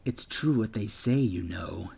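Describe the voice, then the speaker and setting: A man's voice: on the low side, animated, unhurried, gravelly, slightly rough, heavily acted. A man doing a sneering bully boy. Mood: menacing. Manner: sneering, taunting. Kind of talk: audiobook character voice.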